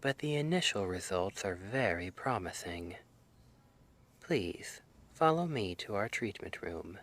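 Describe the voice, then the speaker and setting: A man playing a gentle, reassuring clinician at a dream institute in an ASMR roleplay, soft-spoken and soothing, often slipping into a whisper. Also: fairly low pitch, animated, measured pace. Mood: welcoming, promotional.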